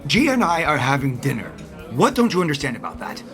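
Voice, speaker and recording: Intense, protective son and lover, emotional swings in pitch, soap drama with music behind. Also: male voice, sing-song, fairly quick. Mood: exasperated. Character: aggrieved, protective adult son and devoted lover. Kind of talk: audio soap opera acting.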